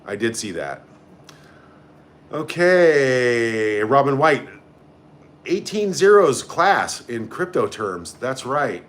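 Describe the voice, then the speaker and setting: A man's voice: mid-range voice, big pitch swings, slow, long pauses, fairly bright tone. A man hosting a casual live stream, reading out comments and reacting in a blunt, sarcastic, sweary way. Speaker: livestream host.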